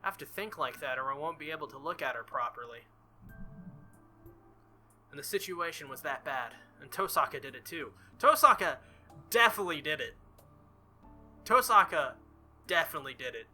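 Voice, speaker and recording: A man's voice: fairly high pitch, animated, normal speed, pauses often, sharp tone, soft background music. A man voicing an earnest, flustered teenage visual novel hero in a hesitant, stammering, insistent way. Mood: flustered, self-reassuring.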